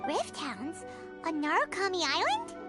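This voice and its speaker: A woman voicing a tiny, excitable fairy companion in a fantasy game, with a squeaky childlike voice and an eager manner. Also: measured pace, full character voice. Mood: eager, curious. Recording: loud game music behind voice.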